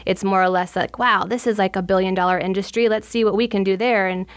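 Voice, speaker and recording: A woman's voice: medium pitch, steady tone, fast talker. Eager woman hosting a podcast. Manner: eager and effusive, rambling questions. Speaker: podcast host. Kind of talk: casual interview.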